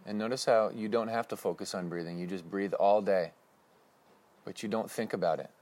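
A man leading a guided breathing meditation with slow, calm, instructive cues. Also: lowish voice.

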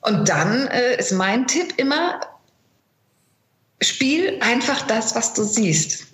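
A middle-aged woman talking as an interview guest in a colloquial, animated conversational way, as a working voice actress. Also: fairly high pitch, takes it slowly, slight background noise. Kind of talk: conversational interview, anecdotes and advice. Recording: roomy, echoing sound.

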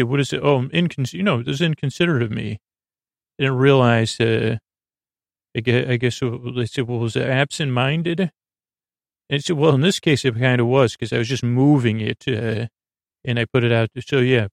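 A man hosting a sleep podcast with a meandering, halting bedtime monologue, often trailing off and repeating himself. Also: medium pitch, no echo, clean studio sound. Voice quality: creaky.

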